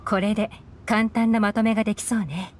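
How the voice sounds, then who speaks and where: An actress playing a composed, shrewd investigator in a Japanese video game, assured and direct. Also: female voice, medium pitch, fairly even intonation, a little fast, a little hiss or hum, close to an everyday voice. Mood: cool, composed.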